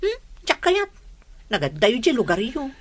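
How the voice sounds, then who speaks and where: A woman's voice: a little high, huge pitch swings, unhurried, exaggerated voice. A woman playing a fussy, possessive, materialistic young girlfriend in a radio drama, with a Filipino accent. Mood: fussy, impatient.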